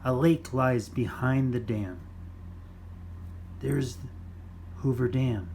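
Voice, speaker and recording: A man with a mid-range voice reads a children's fact book aloud. He takes it slowly, in simple, deliberate phrases, with some background noise. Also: mid-range voice, gravelly, darkish tone. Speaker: children's storytime reader.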